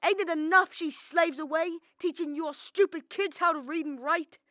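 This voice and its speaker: A woman's voice: very high-pitched, normal speed, fairly bright tone, no echo, studio quality. A teenage girl playing an angry, aggressive husband in a radio drama, scolding and accusing.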